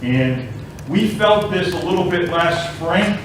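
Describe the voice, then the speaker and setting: A man's voice: high voice, lively intonation, slow. A man reporting at a school board meeting, speaking hesitantly with many restarts and a worried tone. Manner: hesitant, repeats words, worried. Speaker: school staff member. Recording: echoey meeting room from far off, hum.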